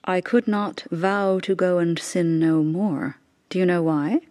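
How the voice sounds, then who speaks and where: A woman's voice: fairly low pitch, steady tone, measured pace, a little mellow, close to an everyday voice. Intense, devout mother in anguish played by an actress. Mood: wretched, guarded. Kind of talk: radio drama acting.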